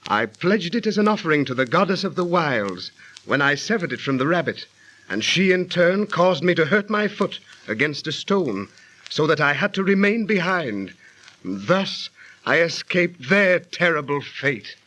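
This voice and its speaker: An actor playing an earnest, awestruck traveler of ancient Greece, grave and declamatory in 1930s radio style. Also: male voice, on the high side, sing-song, moderate pace, fairly bright tone, low-quality recording. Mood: awed. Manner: grave, declamatory, archaic speech.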